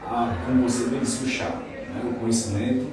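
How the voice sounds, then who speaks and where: A man's voice: medium pitch, flat delivery, brisk, crowd noise. A man hosting an interview, praising his guests with enthusiastic interjections. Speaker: interview host. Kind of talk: casual interview chat. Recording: echoey room, distant mic, some noise.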